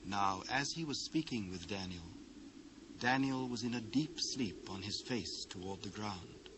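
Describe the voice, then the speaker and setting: A man's voice: fairly low pitch, expressive, unhurried, noisy background. A British man narrating scripture in a solemn, formal storytelling manner for a dramatised reading.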